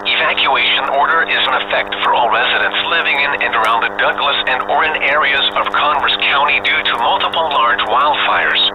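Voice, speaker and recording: Muffled and noisy, like a radio alert, a man's voice delivers a formal emergency bulletin. He announces in a flat, impersonal manner at a middling pitch. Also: a little fast, few pauses.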